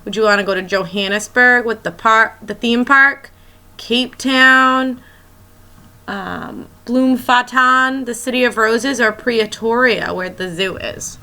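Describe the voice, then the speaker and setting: A woman's voice: fairly high pitch, steady tone, slow pace, sharp tone. A woman schoolteacher reading a children's picture book aloud, expressively and as if speaking directly to young listeners.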